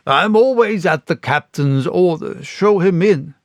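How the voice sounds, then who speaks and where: Man reading as a blustering high-pitched squire. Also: lively intonation, a little slow, no room sound. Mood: haughty. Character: blustering, hot-tempered English squire, haughty and indignant. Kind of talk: audiobook character reading.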